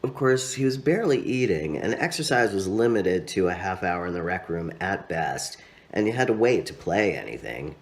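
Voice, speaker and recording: A man with a low voice narrates a literary story he wrote. His pitch swings widely as he goes, and his phrasing is expressive and dramatic, with certain words stretched out. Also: moderate pace. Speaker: author reading own story. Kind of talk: literary narration, read aloud.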